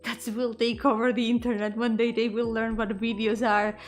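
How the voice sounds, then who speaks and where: High-voiced woman streaming. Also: little pitch movement, normal speed. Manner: playful, switches between English and Spanish. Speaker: VTuber streamer. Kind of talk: casual livestream chat with viewers. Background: music.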